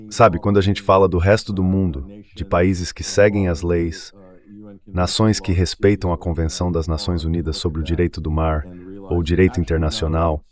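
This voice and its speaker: A low-pitched man gives political analysis as an interview guest. His speech is a translated voice-over of geopolitical commentary, delivered in a deep register.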